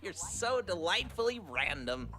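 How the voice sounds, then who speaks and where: An actor voicing an exuberant, playfully sinister male party pony in an animated showman's manner for a fan audio drama. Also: medium pitch, unhurried. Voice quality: bright.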